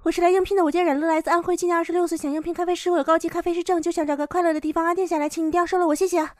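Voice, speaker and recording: An earnest young woman barista played by an actress in a radio drama. She is polite and eager, with a high voice and a quick, tumbling pace. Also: little pitch movement, a little mellow, no echo, studio quality. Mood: eager, grateful. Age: young adult. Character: eager, polite 26-year-old woman barista seeking work.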